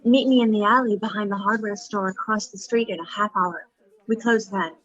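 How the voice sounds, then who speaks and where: An actress playing a cool, scheming young receptionist in a detective audio drama, guarded and confiding, on a slightly dull recording. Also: female voice, medium pitch, fairly even intonation, fairly quick, no room sound, lightly acted. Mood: confiding, sly.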